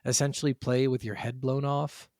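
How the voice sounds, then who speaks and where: A man's voice: medium pitch, flat delivery, moderate pace, a little mellow, no echo, clean studio sound. A man hosting a casual video game podcast, talking in a blunt, profane and opinionated way. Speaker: podcast host. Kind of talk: casual banter about video games.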